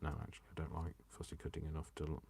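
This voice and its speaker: Deep-voiced chatty English crafter. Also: male voice, completely flat delivery, rapid-fire, vocal fry, darkish tone, breathy edge. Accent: Coventry English, worn by years in Yorkshire. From England. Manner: chatty and self-mocking, talks to himself and the viewers. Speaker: crafting livestreamer. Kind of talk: live crafting demonstration chat.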